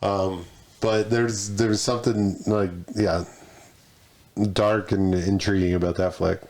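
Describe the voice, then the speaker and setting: A man's voice: on the low side, little pitch movement, slow, a little mellow. A man co-hosting a podcast in casual chat, joking and swearing as he tells stories. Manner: profane, joking, storytelling. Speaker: podcast co-host.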